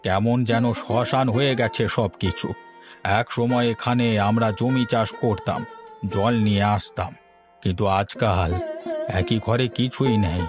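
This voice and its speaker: A mournful old farmer uncle from a cartoon story, as a man plays him. He talks slowly in a middle-pitched voice, grieving over his barren land. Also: music in the background, lightly acted. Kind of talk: Bengali cartoon story acting.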